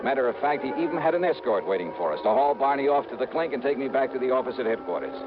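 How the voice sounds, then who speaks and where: An actor playing a brash, wry American insurance investigator in a vintage radio drama, speaking in clipped, slangy lines. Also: male voice, medium pitch, animated, rapid-fire, background noise, natural voice. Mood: wry narration. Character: hardboiled male freelance insurance investigator, brash, impatient, wry. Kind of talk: 1950s radio drama acting and first-person narration. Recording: dull vintage radio recording with music.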